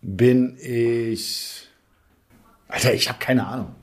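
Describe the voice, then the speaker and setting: A man explaining the rules of a guessing game, then playing it in relaxed, joking chat, laughing often. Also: mid-range voice, slow.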